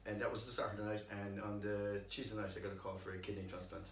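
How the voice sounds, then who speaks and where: A man's voice: lowish voice, flat delivery, quick pace. A man with an Irish accent chatting casually as a guest, wry and understated. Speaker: pantomime performer, guest. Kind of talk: casual chat. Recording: echoey room, distant mic, background noise.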